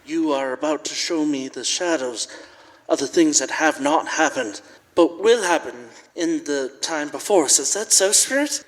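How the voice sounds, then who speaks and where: An old miser played by a man in a radio play, frightened and repentant. The voice is rather high and theatrical, earnestly pleading. A long church reverb surrounds it. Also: moderate pace, natural voice. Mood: fearful, humble. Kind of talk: live radio play acting.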